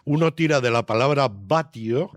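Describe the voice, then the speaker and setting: A man's voice: medium pitch, expressive, moderate pace, crowd noise. An older man answering as an interviewed writer, thoughtful and didactic, often adding tag questions to seek agreement.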